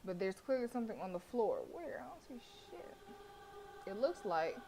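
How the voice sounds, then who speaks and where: A woman's voice: mid-range voice, sing-song, moderate pace, warm tone, slight background noise. A woman streamer reading a horror visual novel aloud, breaking off into joking, swearing asides. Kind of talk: reading game text aloud with asides to chat.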